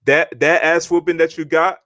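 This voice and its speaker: A man's voice: a little high, level tone, normal speed, pushed and bright, dry recording, studio quality. A young man co-hosting a podcast, talking trash in boastful, repetitive casual chat. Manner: boastful trash talk, repeats himself. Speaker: podcast co-host.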